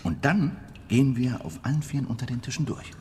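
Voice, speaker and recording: A man's voice: medium pitch, very animated, brisk, darkish tone, a little hiss or hum, close to an everyday voice. A man playing a confident criminal planning a heist in a radio crime drama, explaining in an assured, matter-of-fact way.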